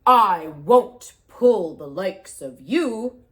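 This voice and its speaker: A woman voicing a proud, haughty big male freight engine in a storybook read-aloud, talking in a pompous, drawn-out way. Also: medium pitch, lively intonation, pitched below the performer's own voice. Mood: boastful.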